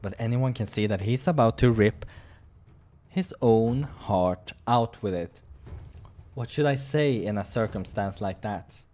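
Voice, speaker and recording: A man's voice: fairly low pitch, a little slow, dark tone. A man hosting a visual novel playthrough, reading narration dramatically and commenting casually on the game. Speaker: gaming playthrough host.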